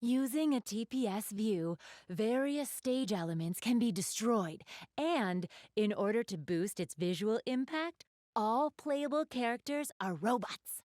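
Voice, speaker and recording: A woman's voice: on the high side, lively intonation, measured pace, darkish tone, no echo, clean studio sound. A woman playing an elegant young goddess in an anime video game, speaking to the player with polite, genteel, formal diction and a keen gamer's refinement. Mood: enthusiastic, polite.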